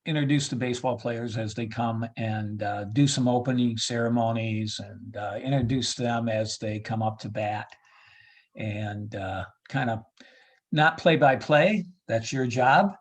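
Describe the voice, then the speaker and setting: A man with a medium-pitched voice speaks as an interview guest about his work as a ballpark announcer. His delivery is casual and halting, as he thinks out loud. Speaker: baseball PA announcer being interviewed.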